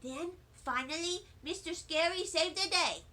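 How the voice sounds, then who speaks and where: A woman's voice: very high voice, unhurried, sharp tone. A woman reading a children's book aloud, narrating in a chatty, wide-eyed first-grader voice. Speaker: storybook reader.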